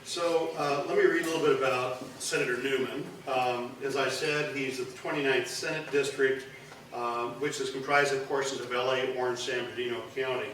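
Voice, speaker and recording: A man's voice: mid-range voice, steady tone, normal speed, a bit bright, a little hiss or hum. A man in his sixties giving an informal, rambling speech at a press event, with dry asides and no microphone. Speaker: former state senator, event speaker. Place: large room with a backdrop, indoors. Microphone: no microphone, recorded by a distant camera. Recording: echoey room from a distance.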